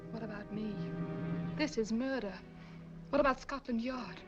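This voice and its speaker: An actress playing a ruthless scheming woman who scolds in sharp hissing whispers, in 1940s film style. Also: a little high, normal speed, loud music behind the voice, lightly acted. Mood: furious. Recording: dull lo-fi 1940s film sound.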